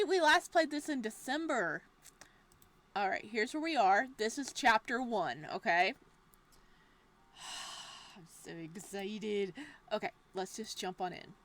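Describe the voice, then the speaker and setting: A young woman hosting a horror game playthrough, chatty and excitable, reading the game text aloud. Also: mid-range voice, slight background noise. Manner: bubbly and excitable, laughs often between lines. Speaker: gaming channel host.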